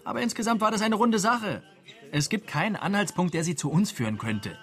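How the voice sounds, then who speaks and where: An actor playing a cocky, dismissive criminal in a radio drama, talking in brash, patronizing slang. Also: male voice, high-pitched, animated, fairly quick, a bit bright. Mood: dismissive, confident. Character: cocky, dismissive male criminal, bullying his partner.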